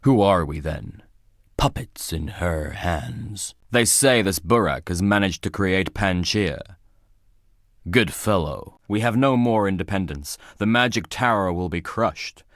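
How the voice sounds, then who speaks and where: A solemn old judge for a video game, speaks in a low-pitched voice with very little room sound. He is weary and cryptic, and each line comes out as a grave, formal pronouncement. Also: expressive, a little slow, fairly bright tone, dry recording, clean studio sound, heavily acted. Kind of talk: dramatic video game voice acting.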